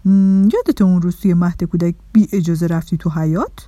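A woman's voice: fairly low pitch, mellow, dry recording, close to an everyday voice. Woman voicing a busy, self-important tiny storybook man. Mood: probing. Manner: explanatory, emphatic. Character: funny, tiny, busy, self-important male keeper of consequences.

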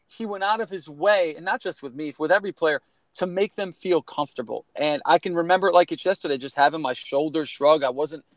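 A man around forty, originally from Connecticut, tells his story earnestly and in a rambling way, circling back with "you know." His voice sits a little high for a man. The recording is close and dry. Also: no echo. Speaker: guest, former college baseball player. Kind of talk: candid storytelling in a podcast conversation.

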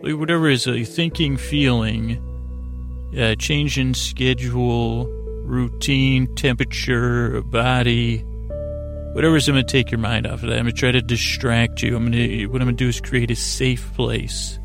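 A man hosting a bedtime podcast, rambling in a halting monologue that keeps interrupting itself and wandering off. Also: mid-range voice, level tone, creaky, music in the background. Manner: meandering, halting, self-interrupting. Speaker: podcast host.

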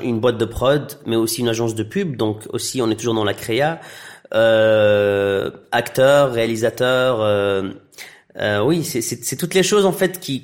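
Hesitant man chatting in an interview. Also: medium pitch. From Iraqi heritage, raised in Tunisia and Belgium. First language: Arabic. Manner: hesitant, many fillers and restarts. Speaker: interview guest, actor and director. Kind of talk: casual interview chat.

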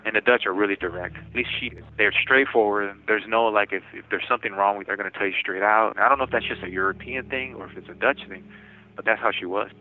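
Man on a tinny phone line, halting. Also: on the low side. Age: 40s. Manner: halting, frequent restarts and repeated words. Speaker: interviewee in prison. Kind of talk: casual phone interview.

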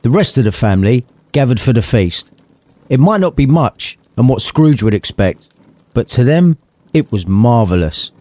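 Man narrating a story, lively and dry. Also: mid-range voice, normal speed, no echo. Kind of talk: dramatic, irreverent audiobook narration.

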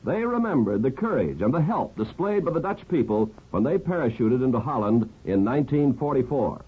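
Husky, very animated American radio announcer. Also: male voice, medium pitch, a little fast. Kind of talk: 1950s scripted radio narration. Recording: tinny 1950s radio broadcast.

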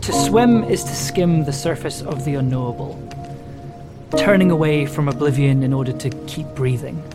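A performer playing a weary, haunted submariner in a halting, reflective audio drama monologue. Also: mid-range voice, natural voice. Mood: awed, halting. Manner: halting, reflective, deliberate pauses. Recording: music under the voice. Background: music.

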